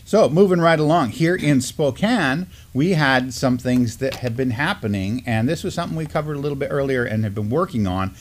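A man's voice: mid-range voice, animated, a little fast, few pauses, a little hiss or hum. A middle-aged man with an American accent hosting a community news show in a casual, chatty style. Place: indoor studio, seated at a desk.

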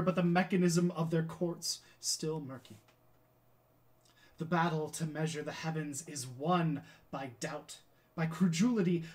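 A man performs an aging, defeated scientist in a classical stage monologue. He lectures his pupil in grand, declamatory phrases, bitter and self-condemning, with a somewhat high-set voice. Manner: theatrical, declamatory, impassioned oratory.

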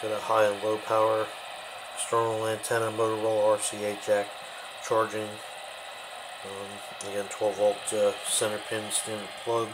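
Low-pitched man, noisy home video. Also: monotone, moderate pace. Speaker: host of a radio hobby video show. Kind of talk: casual show-and-tell product demonstration. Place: home kitchen, indoors. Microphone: handheld camera, behind the lens. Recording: clearly noisy home camera recording.

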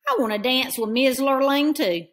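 A woman's voice: sits high, moderate pace, fairly bright tone. Woman doing an earnest young cowboy. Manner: earnest drawl. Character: humble hardworking young cowboy.

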